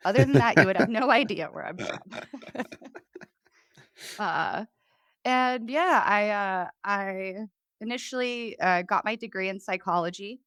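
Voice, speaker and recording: A woman's voice: mid-range voice, measured pace, a bit bright, no echo, studio quality. A woman raised in Chicago, with a slight Chicago accent, talking casually as a podcast guest, chatty and laughing often. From born in Poland, raised in Chicago. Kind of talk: casual conversation.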